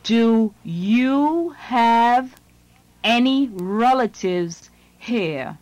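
Very slow, deliberate woman reading a lesson. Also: fairly high pitch, lively intonation, a little mellow, no echo. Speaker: language lesson narrator.

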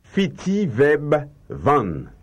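A man reading slow, carefully enunciated translations in a language drill. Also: a little high, huge pitch swings, breathy edge, lo-fi sound. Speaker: language teacher.

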